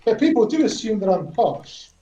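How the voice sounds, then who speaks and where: Guest, male, southern English accent, fairly high yet mellow voice, hesitant self-mocking delivery, roomy echo. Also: very animated, moderate pace, far from the mic, highs cut off, a little hiss or hum. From south-east England. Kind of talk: casual chat.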